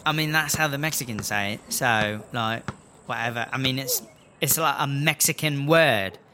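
A man's voice: mid-range voice, normal speed, bright, forceful tone, a little hiss or hum, close to an everyday voice. A man playing a brash female inmate, rambling through a slangy story in a comedic audio drama. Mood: defensive.

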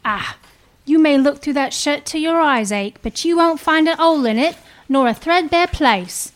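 A woman's voice: sits high, animated, moderate pace. A woman playing a brazen, defiant Victorian thief in a live dramatic reading. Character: brazen, defiant Victorian thieving woman selling a dead man's goods.

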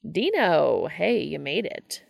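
A woman's voice: deep voice, big pitch swings, slow, gravelly, no echo, studio quality, full character voice. A woman voicing a breezy, teasing male TV prankster with a casual, familiar manner in an audiobook.

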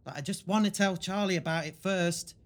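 An actor plays an earnest teenage boy in an audio drama. The voice is light for a boy, halting and repeating words as he argues his case. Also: mid-range voice, close to an everyday voice. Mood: pleading, stubborn. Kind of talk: naturalistic audio drama dialogue.

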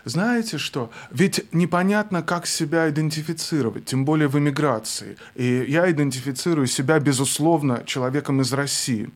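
A young man speaks as a guest on a radio talk show, reflective and measured. His voice is slightly high for a man, and he stops and restarts on words as he thinks. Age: young adult. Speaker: guest, film director. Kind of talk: thoughtful interview talk.

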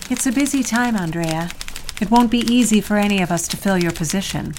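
An actress playing a prim, fussy office secretary who speaks with faint reproach in an audio drama. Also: female voice, medium pitch, brisk, noisy background, close to an everyday voice.

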